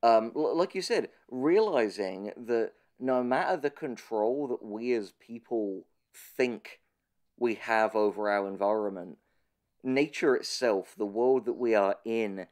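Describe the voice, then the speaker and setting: A man's voice: fairly low pitch, a little mellow. A man in a conversational analytical discussion, speaking thoughtfully with hesitant, stammering starts as a podcast host.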